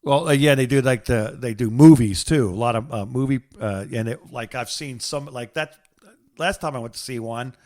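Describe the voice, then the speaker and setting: Halting, rambling middle-aged American man. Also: medium pitch, a little mellow. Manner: halting, rambling, repeats words and trails off mid-thought. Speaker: podcast co-host. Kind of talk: casual phone-call chat.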